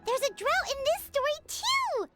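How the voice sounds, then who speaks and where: A woman voicing a tiny, childlike, excitable fairy companion in a video game, with a squeaky, eager voice. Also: normal speed. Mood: curious, excited. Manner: excitable, refers to self in third person. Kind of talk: video game cartoon voice acting. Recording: game dialogue under loud music. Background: loud tinkling game music.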